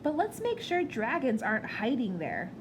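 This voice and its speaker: A gentle storybook father read by a woman, playful as he explains things to a child. The voice is fairly high and there is clear noise on the recording. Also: wildly swooping pitch, moderate pace. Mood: reassuring.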